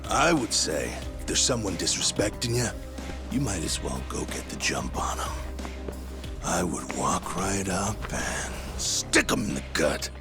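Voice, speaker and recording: A cocky, menacing gang thug. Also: male voice, low-pitched, big pitch swings, measured pace, heavily acted. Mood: taunting. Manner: taunting, threatening. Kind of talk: video game voice acting. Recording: music playing behind. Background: music.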